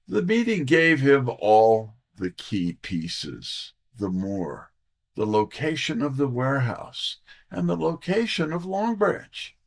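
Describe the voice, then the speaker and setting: An actor playing a wry, breezy detective's assistant in an audio drama, with a theatrical, wisecracking delivery. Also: male voice, mid-range voice, gravelly, fairly bright tone, exaggerated voice. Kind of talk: full-cast audio drama, detective narration.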